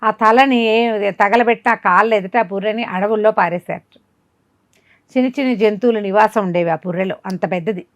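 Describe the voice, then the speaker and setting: A woman storyteller, recorded dry and close, with a middling pitch. She tells a folk tale in a conversational way. She keeps putting rhetorical questions to the listener as she goes. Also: moderate pace, no echo. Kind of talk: informal spoken telling of a folk tale.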